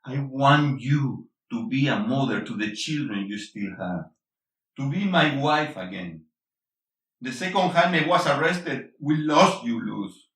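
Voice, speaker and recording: A man's voice: mid-range voice, animated, slow, fairly bright tone. A man acting a bitter, angry middle-aged father and husband in a stage-play reading, confrontational and emphatic, slipping between English and Spanish. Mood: pleading, subdued. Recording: echoey room, distant mic.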